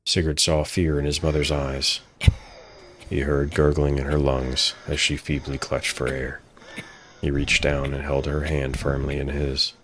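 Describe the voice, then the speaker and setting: A man narrating a scripted fantasy story in a solemn, measured manner. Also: a bass voice, monotone, fairly bright tone.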